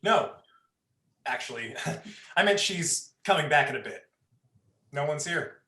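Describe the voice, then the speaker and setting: A man acts out a menacing young sadist in a horror play. He draws out his threats slowly with mock politeness, his fairly high voice swinging widely in pitch. The sound is roomy. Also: expressive, pushed and bright. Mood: predatory, creepy. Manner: taunting, falsely polite, drawn-out threats. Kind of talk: dramatized horror play script reading.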